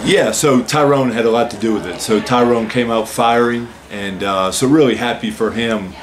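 A man in his forties, a head basketball coach, calmly answering postgame questions at a press-room table, explaining with many "uh" and "so" openers. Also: medium pitch, moderate pace, slight background noise. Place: press room with a team backdrop, seated at a table.

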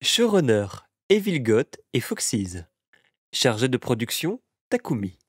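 Guy doing credits announcer read, super animated intonation, dry close mic. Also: medium pitch, measured pace, breathy edge, no echo. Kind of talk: scripted credits narration.

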